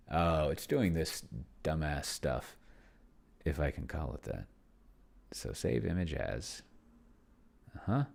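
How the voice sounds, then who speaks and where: A man's voice: extremely low pitch, gravelly, a little mellow, husky. A man giving a casual, unscripted commentary on his own tabletop game session, rambling and hesitant as he thinks aloud and trails off mid-thought. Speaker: solo tabletop roleplayer and host, narrating own play session.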